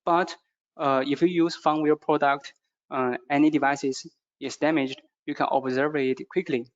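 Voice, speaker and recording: A man with a non-native English accent gives a product training presentation, speaking haltingly and repeating phrases as he goes. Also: on the high side, steady tone. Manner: halting, repetitive phrasing with stumbles. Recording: dull, muffled lo-fi audio.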